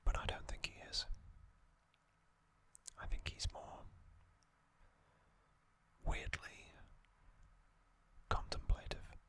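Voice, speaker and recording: An ASMR host speaking commentary in a breathy, unvoiced whisper, slowly and deliberately in short phrases with pauses. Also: a bit bright.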